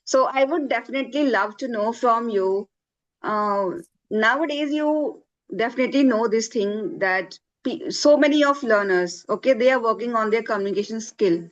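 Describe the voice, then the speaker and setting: A woman's voice: fairly high pitch, moderate pace. Woman host asking questions, muffled sound. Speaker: host, English teacher. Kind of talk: interview questions. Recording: dull lo-fi sound.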